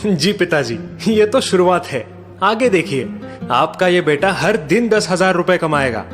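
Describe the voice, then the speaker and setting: A man voicing a cocky, crafty young antique dealer in a cartoon story, confident and smoothly polite. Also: sits high, lively intonation, measured pace, faint music behind. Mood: confident, eager.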